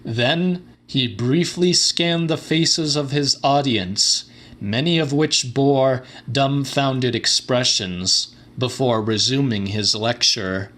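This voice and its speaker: Slow, theatrical male audiobook narrator. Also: medium pitch, fairly bright tone. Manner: measured, formal, theatrical. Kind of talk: dramatic scripted narration of a horror story.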